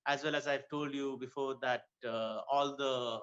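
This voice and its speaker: A man's voice: mid-range voice, steady tone, moderate pace, a bit bright. A man from Kuwait speaking conversationally as a dermatologist guest in an interview. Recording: dull lo-fi audio.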